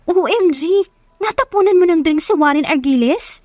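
A woman with a Filipino accent voicing an excitable, teasing young female best friend in an audio romance, exclamatory and slangy. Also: very high voice, moderate pace, no echo, studio quality, pitched above the performer's own voice. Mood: excited, incredulous.

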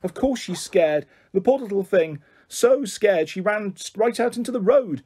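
A man plays a protective mother with fraying nerves in an audiobook. The pitch is raised and the voice slightly husky. She sounds fretful and indignant. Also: expressive, quick pace, mellow, heavily acted. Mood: tearful worry. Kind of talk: audiobook character voice.

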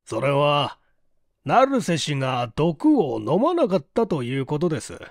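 A woman voicing a composed, courteous male detective chief in an audiobook, explaining in a deliberate, emphatic and formal way. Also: low voice, big pitch swings, darkish tone, no room sound, studio quality, heavily acted. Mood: grave, accusing. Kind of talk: storybook dialogue in audiobook reading.

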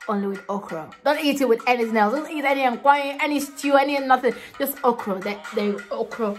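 A woman's voice: on the high side, a little fast. A young woman from Ghana vlogging to camera in a small room, chatting playfully and laughing often. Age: young adult. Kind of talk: casual chat to camera.

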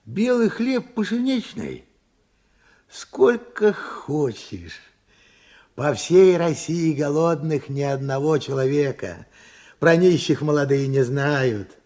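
An actor playing an older working-class father, earnest and proud, in Soviet radio drama style, with a husky, creaky, emphatic voice. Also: a little high, very animated, takes it slowly, darkish tone. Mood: proud, reassuring. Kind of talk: Soviet radio play acting.

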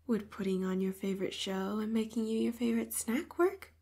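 A woman voicing a devoted, possessive young female robot assistant in an ASMR roleplay, speaking in a soft half-whisper and calling the listener Master. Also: on the high side, fairly even intonation, brisk. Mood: tender. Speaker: voice actor.

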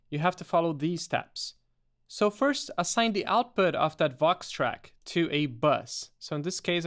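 A man presenting a step-by-step software tutorial in a methodical, explanatory way. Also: a little high.

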